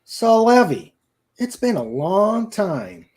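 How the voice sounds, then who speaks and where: A haughty teenage boy from a novel, acted out by a man. He boasts in an animated, swinging voice with a soft-edged, mellow tone. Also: sits high, slow, voice pushed up, exaggerated voice. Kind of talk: dramatic novel reading.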